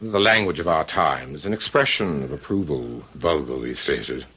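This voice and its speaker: An actor playing a fussy, aloof, wealthy middle-aged bachelor in a radio drama, speaking with pompous precision and dry wit. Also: male voice, very deep voice, moderate pace, bright, forceful tone, breathy edge, heavily acted. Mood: resigned, dry. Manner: pompous, precise, drily witty. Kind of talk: old-time radio drama acting.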